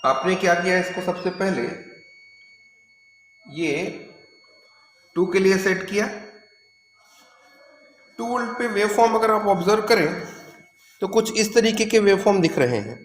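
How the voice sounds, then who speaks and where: A man's voice: sits high, expressive, unhurried, pauses often. A man giving a step-by-step lab demonstration as a physics teacher, instructing and pointing things out as he goes. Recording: echoey room, noisy with hum.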